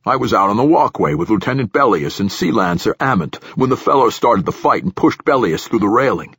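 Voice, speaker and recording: A man's voice: lowish voice, expressive, a little fast, a bit bright, breathy edge, no room sound, clean studio sound, heavily acted. A man voicing a flustered, defensive male station director in an audiobook, deferential and hedging with folksy phrasing. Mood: defensive, formal. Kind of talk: audiobook character dialogue.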